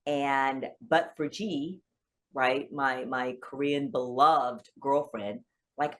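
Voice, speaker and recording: A woman from rural South Carolina, a family law attorney, telling stories as a podcast guest with animated excitement. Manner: animated storyteller, pitch jumps high when excited. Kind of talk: storytelling in casual interview.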